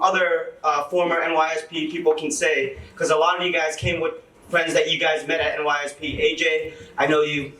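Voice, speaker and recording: A man's voice: fairly high pitch, bright, forceful tone. Young man laughing through a story. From Lowell, Massachusetts. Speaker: event speaker, teacher. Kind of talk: casual speech to an audience. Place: event hall. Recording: echoey hall from far off.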